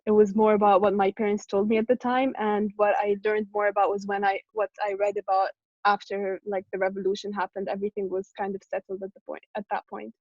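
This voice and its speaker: Female discussion participant, twenties, medium pitch, conversational opinions, close and lo-fi online sound. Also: level tone, quick pace, a little mellow, dry recording. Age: 20s. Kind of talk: casual opinion sharing. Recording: dry, dull online call audio.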